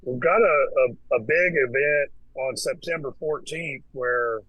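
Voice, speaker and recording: A man talking as a guest in a casual conversation, relaxed and hesitant, with many fillers and restarts. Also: mid-range voice, a little slow, dry recording, low-quality recording. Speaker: podcast guest, Freemason.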